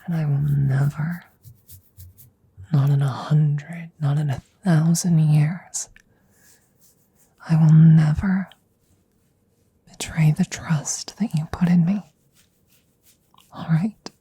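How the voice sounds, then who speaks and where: A woman playing a gentle, protective witch in an ASMR roleplay, speaking softly and tenderly in breathy whispers, close to the mic. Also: low-pitched, fairly even intonation, full character voice. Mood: tender, reassuring. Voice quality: soft, breathy, often whispering. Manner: tender, slow, reassuring. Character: gentle protective witch soothing her werewolf familiar. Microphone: close microphone.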